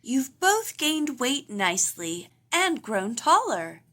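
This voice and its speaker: A woman reads as a friendly doctor bear from a children's storybook. She is businesslike yet reassuring, explaining patiently in a bright, high female voice at an unhurried pace. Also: very animated, heavily acted. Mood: approving.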